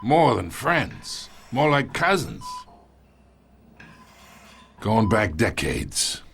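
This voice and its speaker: Gravelly older mob boss, very deep voice, slow taunting drawl, lively swoops, smug. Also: male voice, full character voice. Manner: slow, taunting, chuckles between lines. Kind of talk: video game voice acting.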